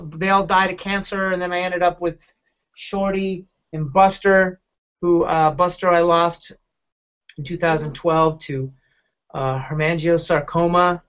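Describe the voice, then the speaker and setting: A middle-aged man hosting a podcast, telling personal stories casually in a halting, candid, rambling way. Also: high-pitched, sharp tone, clean tone. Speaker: podcast host, dog advocate. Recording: dull lo-fi podcast audio.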